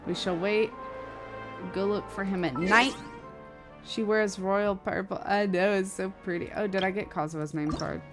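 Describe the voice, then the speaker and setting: A woman's voice: mid-range voice, fairly even intonation, normal speed. A woman game streamer chatting casually and in slang while commenting live on what she plays. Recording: game music behind the voice. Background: video game music.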